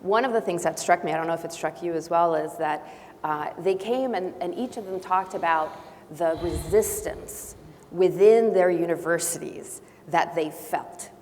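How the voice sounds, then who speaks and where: A woman's voice: fairly low pitch, normal speed, darkish tone. Woman speaking in a reverberant auditorium. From Brazil and Honduras. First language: not English. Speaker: panelist, faculty member. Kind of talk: panel discussion. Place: large auditorium. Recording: long hall reverb, faint noise.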